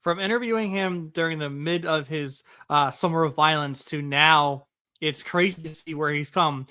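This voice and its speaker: A man co-hosting a casual podcast, his voice bright and ringing and pitched a little high. He talks eagerly and conversationally, with plenty of fillers, into a close mic in a dry room. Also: clean tone, no echo. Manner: excited, quick, conversational with filler. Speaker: podcast co-host. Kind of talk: casual wrestling podcast chat.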